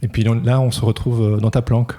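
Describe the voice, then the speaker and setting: A man hosting a podcast, asking questions in a relaxed, encouraging way. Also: medium pitch, fast talker. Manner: relaxed, encouraging, gentle prompting. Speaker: podcast host. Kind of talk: casual interview.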